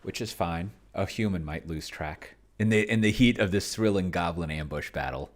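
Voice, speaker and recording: A man's voice: low-pitched, moderate pace. A man giving casual think-aloud commentary on a game, musing to himself and trailing off. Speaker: solo tabletop game player and demonstrator.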